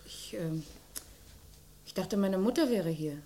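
An actress playing a shy young daughter in a crime radio play, halting and guarded. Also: female voice, mid-range voice, expressive, slight background noise. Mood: hesitant, subdued. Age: young adult. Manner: halting, guarded, soft-spoken. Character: shy, hesitant young office-working daughter. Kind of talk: German radio drama acting.

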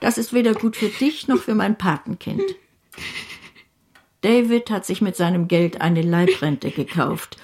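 Bossy eccentric great-aunt, brusque and cutting but means well, radio play style. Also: female voice, medium pitch, darkish tone, slightly rough. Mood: gruff, consoling. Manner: imperious, brisk, cutting. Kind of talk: German radio play acting.

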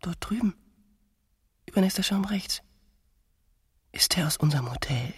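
An actress playing a willful, teasing wife who is romantic and quick to jealousy, in a naturalistic radio drama. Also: female voice, lowish voice, expressive, husky, long reverb tail. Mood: tense. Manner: insistent, teasing, pointed.

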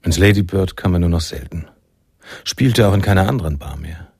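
Reflective noir narrator, low voice, husky, scripted radio play. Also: male voice, brisk, a little mellow, no echo, clean studio sound, exaggerated voice. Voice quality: deep, gravelly. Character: male first-person narrator of a noir jazz tale, reflective.

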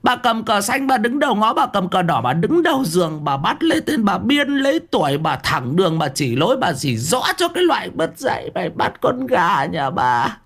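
A man's voice: sits high, sing-song, moderate pace, few pauses, fairly bright tone, slightly rough, voice pushed up, heavily acted. A man voicing an older village wife who shrieks a long, rhythmic tirade of curses at a chicken thief. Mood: furious ranting.

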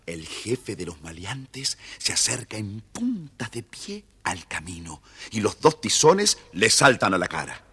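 A man's voice: on the low side, sing-song, unhurried, fairly bright tone, breathy edge. A man narrating a children's story playfully, addressing young listeners as storyteller.

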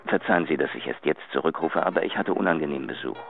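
An actor playing a formal, courteous, apologetic gentleman with a gravelly voice, leaving a voicemail in a radio drama. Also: a bass voice, quick pace. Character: courteous, gentlemanly male acquaintance, quietly smitten and apologetic. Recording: dull phone message, faint music.

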